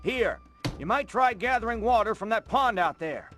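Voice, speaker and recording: An actor playing a chipper, helpful eccentric in a video game, with a chatty, matter-of-fact manner. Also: male voice, high voice, lively intonation, normal speed, bright, forceful tone, faint music behind. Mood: upbeat, helpful. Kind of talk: animated video game voice acting.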